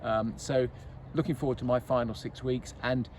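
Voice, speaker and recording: Chatty golf captain outdoors. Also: male voice, medium pitch, fairly even intonation, normal speed. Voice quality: loud voice. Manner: chatty with frequent restarts and fillers. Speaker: golf club men's captain. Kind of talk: casual club update to members. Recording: faint outdoor traffic noise.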